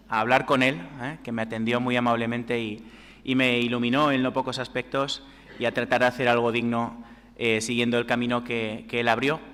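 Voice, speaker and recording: Guy introducing the speaker at a seminar, crisp bright voice, pretty monotone, hall echo. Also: mid-range voice. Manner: formal, with frequent fillers and restarts. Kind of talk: formal introduction. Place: lecture hall. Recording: long hall reverb.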